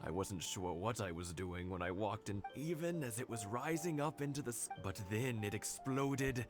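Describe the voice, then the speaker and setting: A man's voice: lowish voice, animated, normal speed, natural voice. A man voicing a genial, modest old village husband in a video game, with courteous manners. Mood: reminiscing. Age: older. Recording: game music behind.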